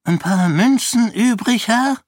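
A man voicing an old, half-senile male beggar with a croaky, rambling manner. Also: very high-pitched, lively intonation, slow pace, no echo, studio quality, pitched above the performer's own voice, exaggerated voice. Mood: pleading. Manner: rambling, humble.

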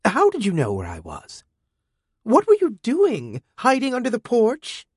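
A male reader voicing a defiant teenage girl in an audiobook, pitching up into a higher, sharper voice that sounds sulky and scornful. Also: wildly swooping pitch, measured pace, darkish tone, breathy edge, no echo, clean studio sound, full character voice. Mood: indignant.

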